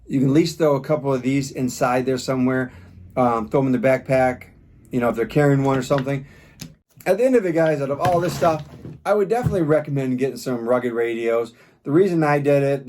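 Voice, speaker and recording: A man's voice: medium pitch, darkish tone. A man giving a casual, unscripted product review in a plain-spoken, rambling, informal way. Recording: clear background noise with clicks.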